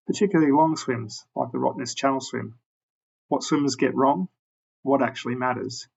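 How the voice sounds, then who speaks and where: A man hosting a podcast, reading a prepared introduction at a measured pace and then putting questions to his guest. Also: mid-range voice, creaky, husky, highs cut off. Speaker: podcast host. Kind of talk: scripted introduction and interview questions.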